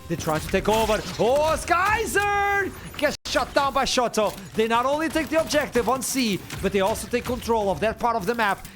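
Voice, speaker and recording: A man from Portugal doing excitable play-by-play commentary on an esports match. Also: high-pitched, a little hiss or hum. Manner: excitable, builds to shouts at big plays. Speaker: esports caster.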